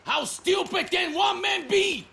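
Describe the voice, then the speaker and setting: An actor playing an arrogant, furious villain with a Brazilian accent, taunting and contemptuous in a video game. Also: male voice, extremely high pitch, animated, slow pace, vocal fry, shouting, heavy background noise, exaggerated voice.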